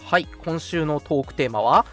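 A man's voice: a little high, normal speed, soft background music. A man co-hosting a live radio show in a casual, chatty, jokingly self-deprecating way.

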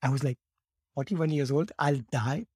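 A man in his fifties, raised in India, telling his story as a podcast guest in a lively way, often acting out quotes and repeating words as he starts. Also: fairly high pitch, normal speed, breathy edge, no echo, clean studio sound. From born in Morocco, raised in India. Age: 50s. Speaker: guest, laughter yoga teacher.

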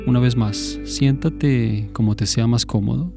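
A man leading a guided mindfulness meditation in a calm, measured, instructive manner. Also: mid-range voice, creaky, music in the background.

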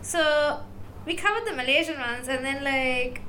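A woman's voice: high voice, animated, a little slow, bright, forceful tone, rough recording. A young woman from Sri Lanka co-hosting a casual chat about folklore, talking loosely with lots of "like". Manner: chatty, heavy use of "like". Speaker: podcast co-host. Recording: faint background noise.

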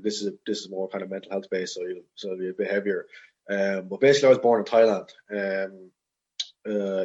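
A somewhat dull, lo-fi recording of an Irish man in his twenties. His voice is a little low and slightly husky, and he rambles along informally like a relaxed podcast guest. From Ireland. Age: 20s. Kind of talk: casual personal chat.

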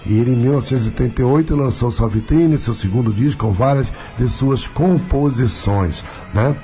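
A man's voice: mid-range voice, a little fast, mellow. A man with a Carioca accent hosting a radio talk show as a pastor, talkative and full of tag questions. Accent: Carioca Brazilian Portuguese. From Rio de Janeiro, Brazil.

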